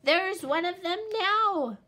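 A woman doing a shrill excitable wolf cub. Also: normal speed. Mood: excited, pleading. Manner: shrill, shouting and pleading. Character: young male wolf cub, mischievous and excitable.

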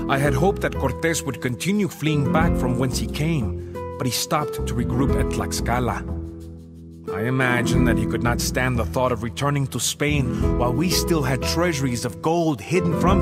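A man playing a grave, proud Aztec emperor in dramatic, measured narration for a game cutscene. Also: mid-range voice, lightly acted. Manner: solemn, measured, theatrical. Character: grave, proud Aztec emperor recounting his people's war. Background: background music.

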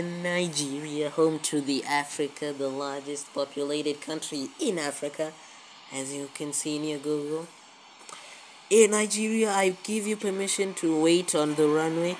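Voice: medium pitch, measured pace, low-quality recording. A woman giving casual gameplay commentary as a video creator, often repeating words and phrases, recorded on a handheld phone. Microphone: handheld phone filming a screen. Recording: faint background noise, amateur phone recording.

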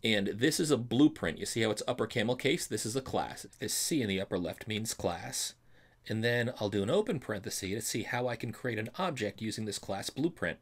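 A man with a fairly low voice presents a programming screencast as an instructor. He explains each step in order, stresses the important terms and reassures the learner along the way. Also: expressive, a little fast, breathy edge. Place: voice-over for a screen recording.

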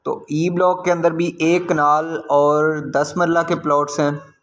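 Higher-pitched Pakistani man giving property commentary. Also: flat delivery, a little slow, fairly bright tone. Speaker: real estate agent, video host. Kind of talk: property site-visit commentary.

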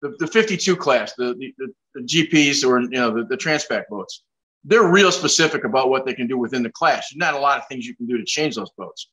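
A man's voice: medium pitch, expressive, fairly quick, pushed and bright, low-quality recording. An older man hosting a casual interview over a video call, asking rambling questions and making self-deprecating jokes. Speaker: host.

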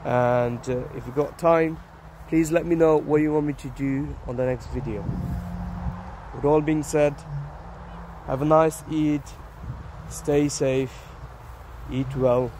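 A slightly hoarse man in his thirties, vlogging casually on a phone in an open park, talking haltingly with many fillers and restarts. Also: fairly high pitch, steady tone, darkish tone. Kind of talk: casual selfie vlog. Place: open grassy park outdoors. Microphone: phone held at arm's length. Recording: outdoor phone audio with wind and traffic noise.

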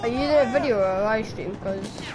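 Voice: medium pitch, a little fast, a crowd in the background, poor audio. Young gamer with a sore throat. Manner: chatty, exclaims at game events. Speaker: gamer streaming gameplay. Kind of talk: casual gameplay chat. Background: game gunfire and ambience.

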